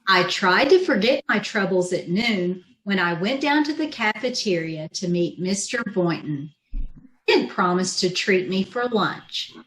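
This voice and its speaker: A woman's voice: medium pitch, expressive, a little slow, fairly bright tone, slight background noise. A woman playing a wry, wisecracking schoolteacher in an old-time radio comedy, with a dry manner. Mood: resigned, wry. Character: wry, sardonic female schoolteacher who narrates her own troubles. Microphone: video call. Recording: echoey room over video call.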